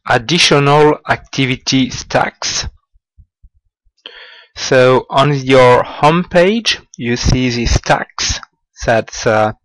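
Slow, halting man demoing software. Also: mid-range voice, no echo, poor audio. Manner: slow, halting, with non-native grammar slips. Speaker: software demonstrator. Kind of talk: screen-recorded software walkthrough.

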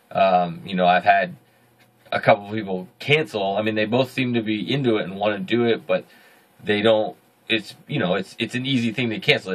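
A man in his thirties with a deep voice, hosting a casual video diary from a small home studio, rambling and correcting himself as he goes. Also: fairly bright tone. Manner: rambling, self-correcting, upbeat. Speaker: video journal host.

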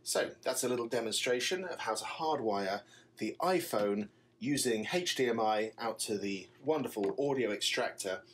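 A man's voice: lowish voice, fairly bright tone, a little hiss or hum. A man giving an explanatory product demonstration, walking through a setup step by step. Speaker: tutorial presenter, stage magician.

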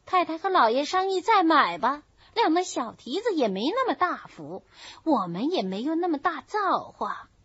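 A woman's voice: high voice, big pitch swings, moderate pace, hardly any pauses, fairly bright tone, no room sound, voice pushed up. A woman voicing an aggrieved middle-aged servant woman in a storybook reading, whining and indignant. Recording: dull lo-fi recording.

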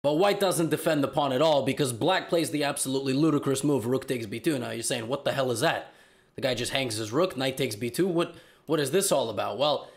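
A young man with a slightly hoarse voice from being sick, giving animated, joking commentary on chess games in a small room. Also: mid-range voice. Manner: animated, joking, hesitant fillers. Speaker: chess video host.

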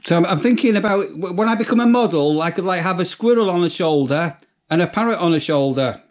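A man in his fifties with a British accent and a notably high voice. He chats casually in a dry, deadpan manner. The sound is a little dull, like a simple home recording. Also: fast. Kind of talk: casual prank phone chat. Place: small domestic room.

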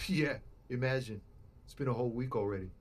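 An actor playing a laid-back, slangy trucker with a poetic, performative streak in a video game. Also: male voice, medium pitch, a little fast, natural voice. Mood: casual, wry. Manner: theatrical, drawling cadence, slangy. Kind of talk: video game dialogue acting.

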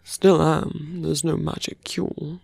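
A man voicing a seductive, sardonic fantasy villain in an intimate, breathy ASMR roleplay, murmuring slowly close to the mic. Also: on the high side, level tone, a little mellow, dry recording, full character voice. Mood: confiding, bitter. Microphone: close microphone, dry.

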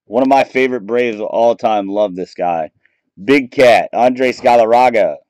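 A man in casual commentary, reading out cards as he opens packs at a table, relaxed and chatty, picked up by a camera mic. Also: medium pitch, moderate pace, no echo, low-quality recording. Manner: relaxed, chatty, reading out names and teams as he goes. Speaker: card break host. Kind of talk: casual commentary while opening trading card packs. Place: small room at a table, off camera.

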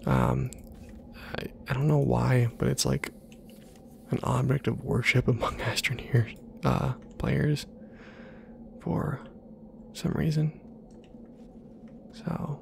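A man giving ASMR gameplay commentary in a soft half-whisper, musing casually to himself in a hushed way. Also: medium pitch, steady tone, slow pace, creaky, pauses often, a little hiss or hum. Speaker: video game streamer.

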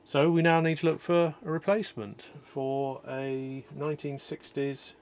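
A man calmly explaining an electronics repair at his workshop bench, step by step, with frequent ums and uhs. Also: mid-range voice, slight background noise. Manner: calm, methodical, many ums and uhs. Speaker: electronics restorer. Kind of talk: technical repair walkthrough.

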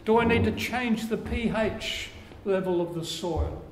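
An older man with an Australian accent preaching a sermon, slow and measured, his phrases falling away at the ends. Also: high voice, vocal fry. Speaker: pastor. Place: church room with curtains. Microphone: lapel mic. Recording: echoey church room, faint background hiss.

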